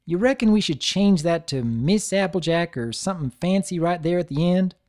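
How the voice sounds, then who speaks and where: A man's voice: high voice, animated, normal speed, darkish tone, pitched above the performer's own voice. A man voicing an honest, hardworking country pony mare with a Southern drawl and folksy, earnest manner.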